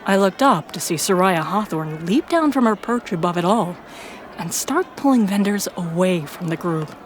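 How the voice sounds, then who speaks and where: A woman's voice: lowish voice, lively intonation, moderate pace. A woman reading scripted first-person narration for a fiction audio drama. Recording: clear background noise and ambience.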